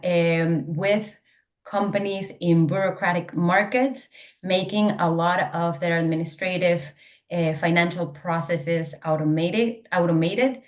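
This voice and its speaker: Eager young woman founder, chatty. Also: lowish voice. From Venezuela. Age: young adult. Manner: eager, talkative, frequent fillers and restarts. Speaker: startup founder and guest. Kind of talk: casual interview answers.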